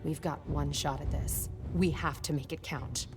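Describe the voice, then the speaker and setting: A commanding video game police captain. Her voice is low, dry and clipped, with authority in every phrase. Loud music sits behind her. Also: fairly even intonation, moderate pace.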